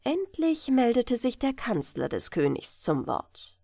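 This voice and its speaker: A woman reads a bedtime fairy tale with careful, measured phrasing. Her fairly high voice moves through a lively range of pitch, at an unhurried pace. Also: vocal fry, no room sound, studio quality. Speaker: audiobook narrator.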